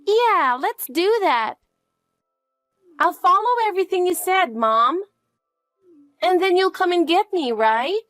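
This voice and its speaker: A woman's voice: very high voice, lively intonation, slow, long pauses, fairly bright tone, dry recording, studio quality, faint music behind, pitched above the performer's own voice, full character voice. A woman voicing an anxious, clingy young girl who pleads and asks question after question in a dramatized story. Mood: eager.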